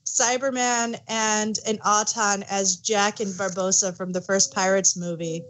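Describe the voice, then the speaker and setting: A woman's voice: mid-range voice, fairly even intonation, measured pace, fairly bright tone, rough recording. A woman chatting casually and informally as the co-host of a podcast. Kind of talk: casual chat.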